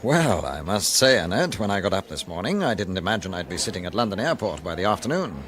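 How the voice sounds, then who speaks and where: An actor playing a pompous, superstitious upper-class gentleman in a classic radio drama. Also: deep voice, very animated, fast, background noise. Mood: genial. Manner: pompous, indignant. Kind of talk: 1950s-style radio drama acting.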